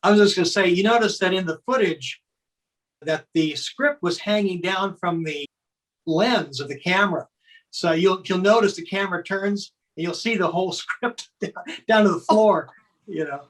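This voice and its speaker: A woman's voice: on the low side, normal speed. A woman explaining behind-the-scenes details in casual conversation, often checking in as she goes. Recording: distant from the microphone.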